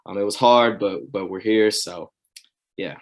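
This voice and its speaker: A young man with a somewhat low voice talks over a video call. He is earnest and reflective and often fills pauses with "you know". He speaks as a student panelist.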